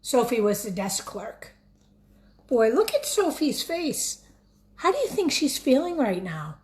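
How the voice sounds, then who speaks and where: A woman teacher reading a picture book aloud expressively, pausing to put questions directly to young listeners. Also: on the high side. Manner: expressive, addresses children directly.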